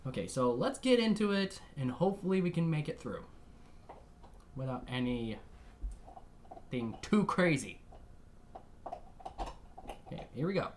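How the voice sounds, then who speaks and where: Low-voiced woman with very swooping delivery. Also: very animated, measured pace, pauses often, a little mellow, slight background noise. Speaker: reaction video host. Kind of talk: casual reaction commentary.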